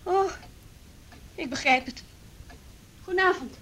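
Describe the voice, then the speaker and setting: A woman's voice: very high voice, slow pace, fairly bright tone, heavily acted. An actress playing a proud, coolly formal woman in a Dutch radio drama. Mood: cool, curt. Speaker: actor in a radio play.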